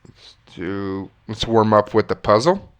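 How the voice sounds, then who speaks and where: A man's voice: on the low side, animated, a little slow, a little hiss or hum. A man with a stuffy nose and a slight cough thinking aloud through chess moves as he plays, often correcting himself. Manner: musing, self-correcting, talks through moves. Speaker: chess streamer.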